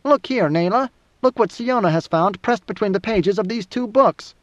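Man doing a squeaky, nervous fat conspirator. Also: animated, normal speed. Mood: excited. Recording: roomy, slightly muffled recording.

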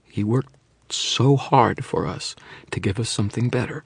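A man voicing a frightened young mother who pleads and confesses in a rush, then drops to a hush. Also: on the low side, creaky, breathy edge, dry recording, voice pushed up, heavily acted. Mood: fearful confession. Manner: breathless pleading, rushing then hushed.

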